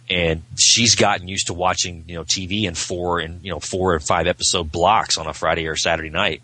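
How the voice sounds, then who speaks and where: A man's voice: deep voice, pushed and bright, dry recording, rough recording. A middle-aged man chatting casually and talkatively about TV in an informal way. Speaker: podcast guest.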